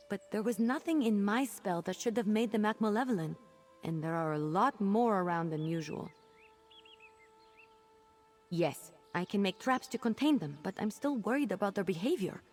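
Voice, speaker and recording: A woman voicing an earnest, chatty young female mage apprentice in a video game, expressive and a little exasperated. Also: mid-range voice. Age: young adult. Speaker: quest-giving companion character. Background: game music.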